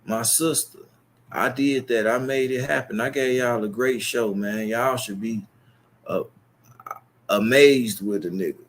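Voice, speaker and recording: A man's voice: medium pitch, measured pace. A young man with a Chicago accent, talking straight to his viewers in a long, boastful, profane and rambling monologue. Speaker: YouTube streamer.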